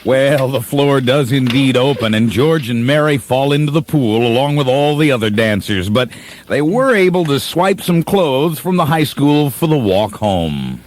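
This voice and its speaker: A man's voice: mid-range voice, lively intonation, normal speed, slight background noise. A man reading scripted narration as the storyteller of a comedy radio play.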